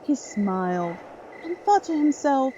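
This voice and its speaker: A woman's voice: high voice, sing-song, measured pace, mellow, lo-fi sound, a little hiss or hum. A woman reading scripted narration for a dramatic horror story.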